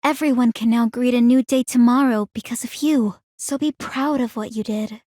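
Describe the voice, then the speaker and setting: An actress playing a calm, analytical young woman in a video game, speaking in a measured, formal and articulate way. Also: on the high side, no room sound, studio quality, natural voice. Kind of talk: scripted video game character dialogue.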